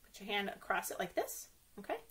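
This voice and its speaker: A woman's voice: medium pitch, big pitch swings, brisk, fairly bright tone, breathy edge. A woman reading a picture book aloud for young children as a story time host, playfully exclaiming and asking her listeners questions.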